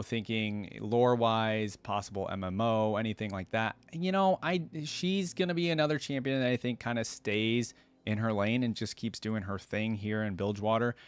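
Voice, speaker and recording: Clean, low-pitched man chatting casually. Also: clear, resonant tone, dry recording. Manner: rambling, thinking aloud, conversational. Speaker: YouTube reaction host. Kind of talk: casual reaction commentary to camera.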